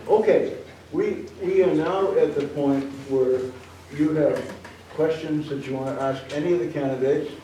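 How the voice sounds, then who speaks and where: A man telling a folksy story and moderating a town candidates night, with halting restarts. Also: fairly high pitch, steady tone, warm tone, slight background noise. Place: town meeting hall. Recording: very echoey hall, distant mic.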